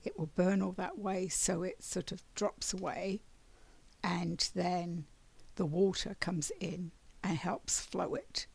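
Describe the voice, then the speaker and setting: A raspy-voiced woman giving a spiritual monthly oracle card reading, meandering and often clearing her throat. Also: on the low side, a little mellow.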